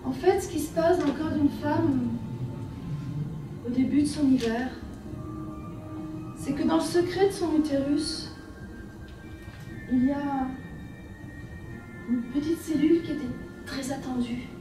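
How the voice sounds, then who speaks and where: A woman from France leading a workshop, speaking in a gentle, lyrical way with many repeated phrases, in a French accent. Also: sits high, measured pace, pauses often. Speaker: workshop leader. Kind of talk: guided women's workshop talk. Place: workshop room at a festival. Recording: very echoey room, from far off, music behind.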